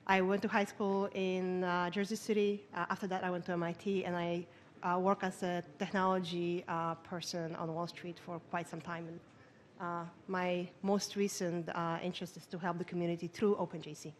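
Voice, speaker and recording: A woman from Poland with a Polish accent, introducing herself on a panel in halting speech with many fillers. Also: fairly low pitch, level tone, slight background noise. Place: conference hall.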